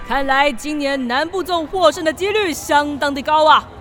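A woman playing an excitable food contest announcer in a children's radio drama, in the manner of sports commentary. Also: high-pitched, normal speed, close to an everyday voice. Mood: excited. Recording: music and crowd sound behind.

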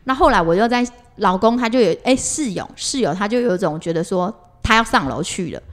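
A middle-aged woman with a Taiwanese accent talks as a podcast co-host. Her mid-pitched voice moves up and down freely. She tells stories in a casual, expressive, conversational way. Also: fairly quick. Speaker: podcast co-host, mother recounting family life.

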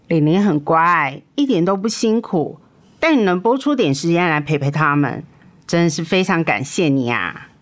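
A woman's voice: deep voice, expressive, moderate pace. A woman playing a protective, outspoken elder sister in a radio drama, emphatic and sympathetic. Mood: gracious.

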